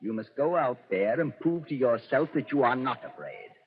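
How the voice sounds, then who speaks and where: A man's voice: medium pitch, lively intonation, normal speed, close to an everyday voice. Man acting an arrogant Nazi colonel, muffled old radio. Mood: stern. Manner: pompous, condescending, formal. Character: cold, arrogant Nazi colonel, ruthless and domineering. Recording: muffled, noisy vintage radio broadcast.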